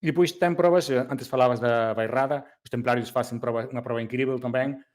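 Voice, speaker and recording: A man from Galicia with a Galician accent, an event organizer talking casually, mixing Galician and Portuguese, laughing often and pausing on fillers. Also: mid-range voice, fairly even intonation. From Galicia, Spain. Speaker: race organizer. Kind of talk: casual podcast chat.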